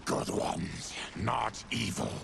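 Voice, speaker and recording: An actor voicing a gentle, weary, afflicted monster warrior in a video game, with a growling, solemn delivery. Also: male voice, lowish voice, background noise, heavily acted. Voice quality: gravelly, growling. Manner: solemn, measured. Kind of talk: video game cutscene acting. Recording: game soundtrack behind.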